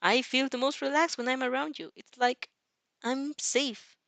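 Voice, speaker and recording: A woman's voice: sits high, steady tone, moderate pace, creaky, fairly bright tone, no room sound, pitched above the performer's own voice. A woman voicing a young vampire girl in a fanfic audio drama, playful and affectionate. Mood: tender, sincere.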